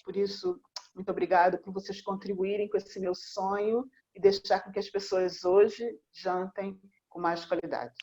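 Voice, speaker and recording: A woman's voice: medium pitch, steady tone, normal speed, muffled recording, background noise. A woman hosting an online talk show, introducing her guest with flowing, repeated phrases.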